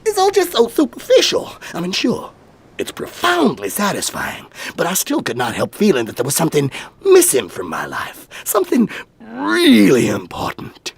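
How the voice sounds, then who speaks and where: An actor playing a bawdy, swaggering aging satyr, a brash fast-talking hustler in a comedy audio drama. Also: male voice, sits high, huge pitch swings, creaky, husky, full character voice. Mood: worked-up, exasperated. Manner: brash, salesman-like, self-regarding.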